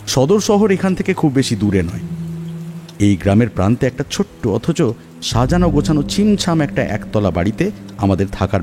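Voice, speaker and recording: Mellow, expressive male storyteller. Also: mid-range voice. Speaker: narrator. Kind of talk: dramatic scripted horror story narration in the first person. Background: music, rain.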